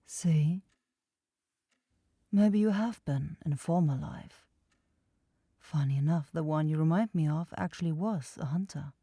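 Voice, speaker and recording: Low, teasing vampire girl, acted by a woman. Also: warm tone, breathy edge, heavily acted. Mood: teasing, confident. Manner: intimate, slow, coaxing, taunting. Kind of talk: ASMR roleplay acting. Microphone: close microphone, dry studio sound.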